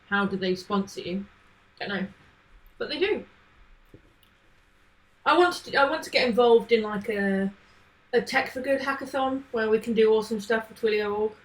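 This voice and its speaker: A woman's voice: medium pitch, fairly bright tone, poor audio. A woman chatting casually on a live coding stream, thinking aloud and answering questions from chat. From not from Manchester. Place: home, living in Manchester.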